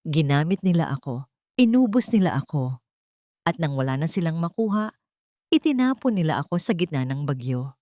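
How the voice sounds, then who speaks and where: A woman voicing a wronged young heiress, weary and bitter but resolute, speaking in slow, sorrowful, measured phrases for an audio drama. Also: deep voice, big pitch swings, warm tone, dry recording, studio quality. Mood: bitter, wounded.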